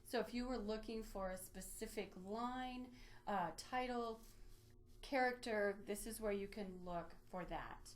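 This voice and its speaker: A woman presenting an instructional software walkthrough, explaining methodically step by step in a lightly improvised way. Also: on the high side, unhurried. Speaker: presenter of a library database tutorial.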